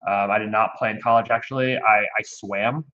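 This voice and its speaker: A man's voice: fairly low pitch, fairly even intonation, moderate pace. A man in his thirties from Connecticut, a youth baseball program director, chatting casually and rambling in an interview. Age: 30s. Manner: rambling, with frequent restarts and fillers. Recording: dull lo-fi audio.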